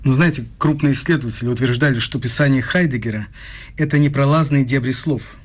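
A man's voice: mid-range voice, fast, close to an everyday voice. A man playing an awkward, evasive visitor in his thirties, hesitant yet probing, in radio drama dialogue. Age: 30s. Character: awkward, evasive young male visitor posing as researcher. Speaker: actor in a radio play.

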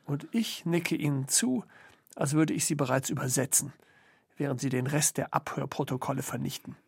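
An actor playing an ironic, brooding male intellectual in a literary radio play, with a deliberate, wry delivery. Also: medium pitch, creaky, husky, no echo, clean studio sound. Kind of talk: literary radio play, scripted three-way conversation.

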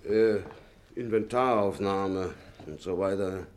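An actor playing a hurried, businesslike wartime official in a 1950s German radio play, clipped and perfunctory. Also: male voice, low-pitched, a little mellow. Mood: brisk, formal. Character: hurried, brisk Nazi-era official handing over an estate. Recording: faint noise, 1950s radio recording.

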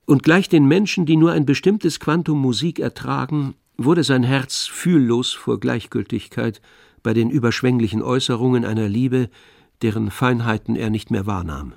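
An actor playing the all-knowing narrator of a literary tragedy in a radio drama, telling it in a measured, expressive way. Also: male voice, medium pitch, creaky, no echo, clean studio sound, lightly acted. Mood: wry, detached.

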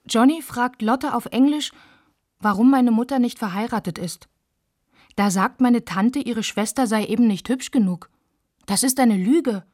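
Woman narrator, fairly high and dry. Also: a little mellow, dry recording. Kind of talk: scripted first-person radio narration. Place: dry studio.

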